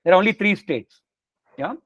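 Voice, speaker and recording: A man's voice: high-pitched, expressive, normal speed, no echo, lo-fi sound. A man whose first language is Tamil, patiently explaining philosophical terms in an informal lecture over a video call. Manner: patient, explanatory, gently joking. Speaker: teacher of a lecture series. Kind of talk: informal spiritual lecture with questions.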